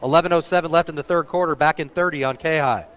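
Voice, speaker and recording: A man working as a radio sportscaster, calling high school football live in clipped, descriptive phrases that turn excited on big plays. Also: fast, muffled recording, soft background music. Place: outdoor stadium, live radio broadcast.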